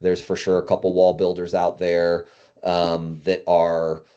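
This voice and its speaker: A man's voice: deep voice, monotone, measured pace, dark tone, clear, resonant tone. A man speaking as a guest consultant in a casual interview, emphatic and explaining through hypothetical quotes. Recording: dull, band-limited audio.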